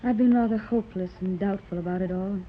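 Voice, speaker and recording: A woman's voice: mid-range voice, fast talker, lightly acted. An actress playing an anxious, earnest wealthy woman searching for her daughter in a 1930s radio drama. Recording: muffled old radio transcription with loud hiss.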